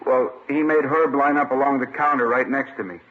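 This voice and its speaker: A man's voice: mid-range voice, fairly even intonation, brisk, natural voice. An American man playing an earnest middle-aged shopkeeper giving a statement in an old radio drama on a muffled recording. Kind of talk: 1950s radio drama, plain testimony.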